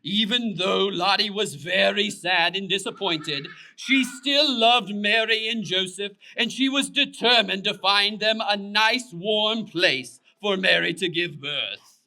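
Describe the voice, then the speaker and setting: High, very bright male storyteller. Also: slow, gravelly. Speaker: puppet show narrator. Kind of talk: scripted children's storytelling.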